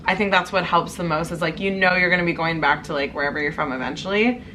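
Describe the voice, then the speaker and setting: A woman's voice: fairly low pitch, fast, noisy background. A young woman from Canada, chatting casually as a guest and using "like" a lot. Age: young adult. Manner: chatty, filler-heavy, frequent "like". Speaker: exchange student guest. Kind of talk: casual chat.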